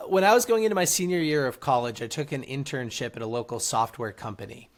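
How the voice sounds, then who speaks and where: A man's voice: mid-range voice, fast. A man speaking as a podcast guest, telling his story in an earnest, motivational way. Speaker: guest, author and entrepreneur. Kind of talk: casual interview storytelling.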